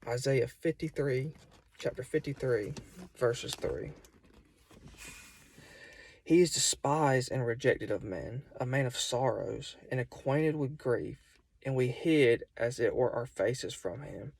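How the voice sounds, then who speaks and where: A man's voice: mid-range voice, takes it slowly, gravelly, darkish tone, a little hiss or hum. A young man with a Southern US accent, preaching in an informal, folksy and conversational way. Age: young adult. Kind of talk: informal sermon. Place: solo self-recording.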